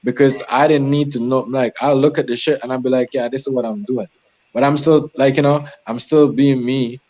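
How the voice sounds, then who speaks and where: A man's voice: mid-range voice, fairly even intonation, brisk, a little mellow. A man born in Jamaica and raised in Toronto talks loosely as a chef in a casual chat. He rambles, restarts his sentences and swears freely. The sound is a little dull and lo-fi. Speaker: chef, co-host.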